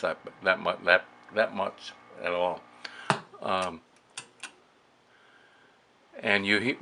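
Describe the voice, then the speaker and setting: A man casually demonstrating amateur radio gear, haltingly thinking aloud as he works the controls. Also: lowish voice, a bit bright. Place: beside operating radio equipment. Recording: noisy recording with clear background hiss.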